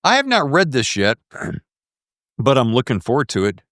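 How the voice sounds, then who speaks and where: Male podcast host from the US South: very lively pitch, dry close sound, folksy, rambling chat. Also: measured pace, no room sound. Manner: animated, rambling, folksy slang. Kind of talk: casual interview chat.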